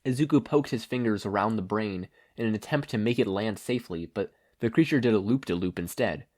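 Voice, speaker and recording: A man's voice: on the low side, fairly quick, a little mellow. A man reading a story aloud in a scripted narration style. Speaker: podfic reader.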